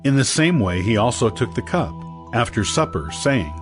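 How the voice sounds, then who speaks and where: A man reading scripture as an audio Bible narrator, in a measured, declarative scripted style. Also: medium pitch, sing-song. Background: background music.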